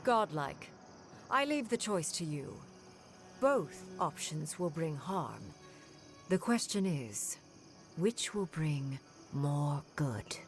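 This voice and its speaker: An actress playing a commanding, coldly resolute female zealot in a fantasy game, measured and authoritative. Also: low voice, very animated, soft background music, full character voice. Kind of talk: fantasy game cutscene acting.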